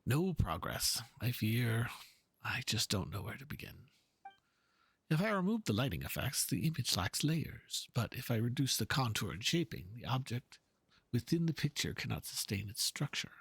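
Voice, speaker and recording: Man acting an earnest, gravelly game painter. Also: medium pitch, sing-song, normal speed, full character voice. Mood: fretful, brooding. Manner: formal, ponderous. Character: earnest, fretful male painter, polite and wordy. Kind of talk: dramatic reading of game dialogue.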